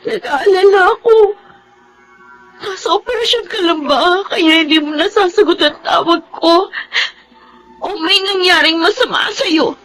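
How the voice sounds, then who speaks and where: A woman's voice: extremely high pitch, a little slow, fairly bright tone, faint music behind, poor audio. A woman with a Filipino accent acting an anguished, resentful young wife in a radio drama, crying as she speaks. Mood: tearful reproach.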